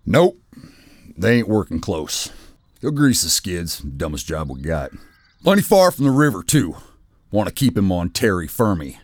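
An actor playing a gruff, blunt 1890s logging camp boss in a film drama, curt and folksy. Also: male voice, deep voice, big pitch swings, normal speed, dry recording. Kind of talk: dramatic acting for an animated storyboard film.

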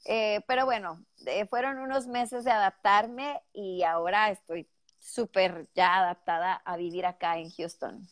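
A woman's voice: mid-range voice, measured pace, no room sound. A woman in her thirties from northern Mexico with a strong northern Mexican accent, telling her story as a guest in a casual interview over a video call with headphones. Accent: northern Mexican Spanish. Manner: lively, expressive storytelling. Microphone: headphones with mic on a call.

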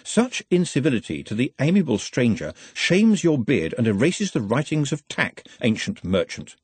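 A man voicing a small, polite, calm young male who speaks formally and precisely. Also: medium pitch, normal speed, breathy edge, dry recording.

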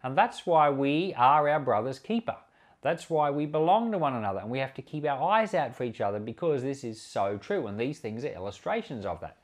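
Man, pastor, animated intonation, earnest and conversational, scripture reading and commentary, repeats for emphasis. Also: medium pitch, fairly quick. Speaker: pastor and podcast host.

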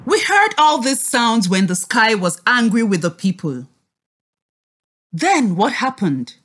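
A woman reads and teaches for young children. Her voice is fairly high and very animated, and she speaks slowly and deliberately, with an encouraging, expressive delivery. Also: husky. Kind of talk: children's read-aloud lesson.

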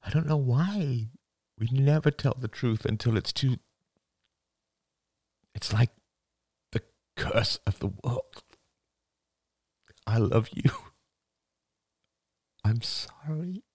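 A man voicing a grieving elderly father in an audiobook, gentle and halting, close to tears. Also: fairly low pitch, sing-song, vocal fry, breathy edge, no echo, pitched above the performer's own voice, exaggerated voice. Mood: sorrowful, tender. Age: older. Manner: halting, sighing, breaking into sad laughter. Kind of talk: dramatic audiobook dialogue.

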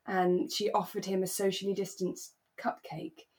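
A British woman co-hosting a podcast, chatting in a relaxed conversational way about a radio drama. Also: medium pitch, normal speed. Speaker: podcast co-host.

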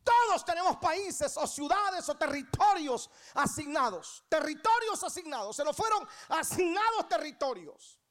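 High-pitched Guatemalan woman preaching. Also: sing-song, measured pace, hardly any pauses. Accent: Guatemalan Spanish. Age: 30s. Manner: emphatic, rhetorical questions to congregation, repeats key phrases. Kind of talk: church sermon.

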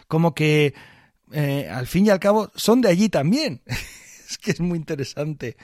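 Animated man in a dry podcast chat. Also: mid-range voice, no room sound. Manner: animated, reasoning aloud with asides. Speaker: podcast co-host. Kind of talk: casual discussion.

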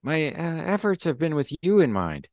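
A man plays an anxious, obsequious official with a Russian accent. The voice is pushed up high, halting and pleading, in a dry recording. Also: sing-song, dry recording. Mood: placating.

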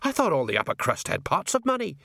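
A man voicing a slow-witted but kindly police sergeant in an audiobook, plain-spoken and puzzled. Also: fairly high pitch, huge pitch swings, vocal fry, pushed and bright, dry recording, clean studio sound, voice pushed up, heavily acted. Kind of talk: audiobook character acting.

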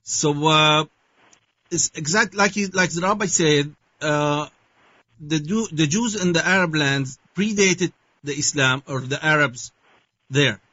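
A man from Syria with a Syrian Arabic accent, answering interview questions haltingly and searching for his words with many fillers. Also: a little high, sharp tone, dry recording. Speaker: guest. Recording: dull lo-fi sound.

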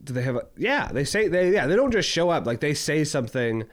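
A man's voice: a little high, big pitch swings, a little fast. A man in his twenties co-hosting a casual podcast chat, rambling through stories with lots of "like" fillers. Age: 20s. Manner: rambling, heavy use of "like" fillers. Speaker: podcast co-host.

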